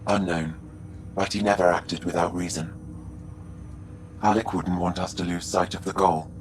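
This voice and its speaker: Deep, measured man voicing a ship's artificial intelligence. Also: steady tone, background noise, natural voice. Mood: calm, solemn. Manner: measured, matter-of-fact. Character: calm, formal male shipboard artificial intelligence. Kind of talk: video game voice acting. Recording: music behind the voice.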